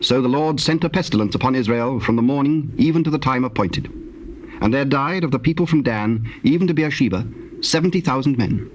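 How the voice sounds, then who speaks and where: A man narrating dramatized scripture in a measured, formal manner. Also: medium pitch, highs cut off. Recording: faintly noisy old cassette tape.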